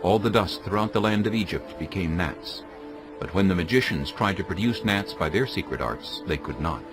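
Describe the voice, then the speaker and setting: A man narrating dramatized scripture as a solemn, measured storyteller. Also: low-pitched, lively intonation, music in the background.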